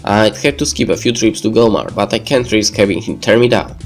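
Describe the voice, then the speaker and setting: A man's voice: on the low side, fairly quick. Man narrating a game story over music. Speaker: let's player reading a visual novel aloud. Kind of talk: reading game narration aloud.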